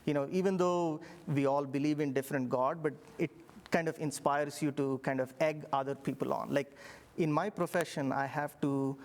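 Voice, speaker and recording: A middle-aged man from India with an Indian accent, a professor recounting his path in a relaxed interview, reflective, with many fillers and restarts. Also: fairly high pitch, normal speed. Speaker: engineering professor. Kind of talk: interview storytelling.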